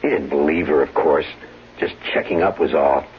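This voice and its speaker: An actor playing a cold, scheming husband in a radio drama, controlled and menacing in short clipped phrases. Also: male voice, extremely low pitch, normal speed, breathy edge, exaggerated voice. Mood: irritated, worried. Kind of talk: old-time radio drama acting. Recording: dull old radio recording, humming noise.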